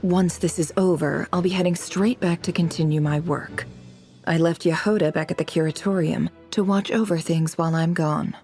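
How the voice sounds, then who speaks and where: A woman's voice: on the low side, steady tone, normal speed, warm tone, soft background music, lightly acted. A woman playing a shrewd, businesslike intelligence broker in a video game, dry, composed and skeptical.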